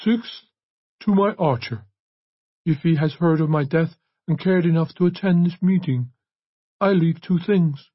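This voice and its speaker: A man voicing a solemn male priest in an audiobook, speaking in a gentle, measured and stately manner. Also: fairly high pitch, no room sound, muffled recording, pitched above the performer's own voice. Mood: solemn, remorseless. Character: solemn, remorseless male priest reading a will aloud. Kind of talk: audiobook character voice.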